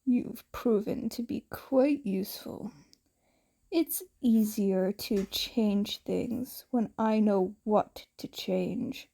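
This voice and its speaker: A woman voicing a calm, calculating male villain who speaks with cold thoughtfulness in an audio story. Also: mid-range voice, takes it slowly, gravelly. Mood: cold, thoughtful.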